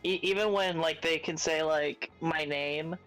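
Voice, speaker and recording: A man chatting casually on a stream, quick and laughing at himself. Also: fairly high pitch, fairly even intonation, a bit bright, smooth, soft background music, rough recording. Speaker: co-host on a game prep stream.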